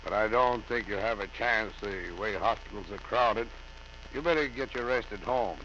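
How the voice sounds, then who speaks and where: Low-voiced police captain, vintage radio. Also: male voice, moderate pace, pushed and bright. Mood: hearty, sympathetic. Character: genial but probing male police captain. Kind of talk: 1940s radio drama acting. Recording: dull vintage radio, faint hum.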